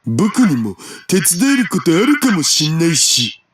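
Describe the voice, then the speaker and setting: A man's voice: a little high, wildly swooping pitch, brisk, a crowd in the background. An actor playing a cheeky, geeky young man in an anime visual novel, with a theatrical delivery. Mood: earnest. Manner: theatrical, swinging from silly outbursts to earnest. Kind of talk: Japanese anime game voice acting.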